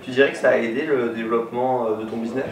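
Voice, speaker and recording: A man's voice: mid-range voice, fairly even intonation, rapid-fire. A man casually asking questions as an interviewer. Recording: roomy echo, chatter behind.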